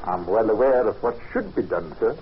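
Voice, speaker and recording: An actor playing a loyal, practical, God-fearing Scottish foreman in an old radio drama, deferential and brisk. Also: fairly low pitch, animated, lightly acted. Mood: dutiful, wary. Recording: muffled old radio recording, faint noise.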